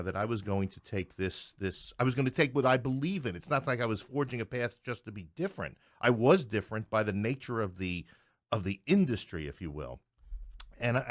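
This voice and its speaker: Low-voiced talkative man from Queens. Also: no echo, studio quality. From Queens, New York City. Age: middle-aged. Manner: talkative, digressive, wryly joking. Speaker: interview guest, playwright and English professor. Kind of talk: anecdotal interview conversation.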